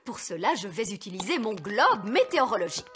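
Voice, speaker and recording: An actress playing a haughty, self-assured fairy nanny in a French cartoon, with theatrical delivery. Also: female voice, mid-range voice, sing-song, a little fast, over music, lightly acted. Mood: confident, condescending. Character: haughty, confident female fairy nanny with magic. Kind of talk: French animated series dub acting.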